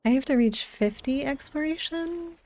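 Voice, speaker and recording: A woman game streamer chats casually in a soft, mellow tone. Her voice is close and dry, like an informal livestream commentary with an easygoing flow. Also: sits high, moderate pace, no echo.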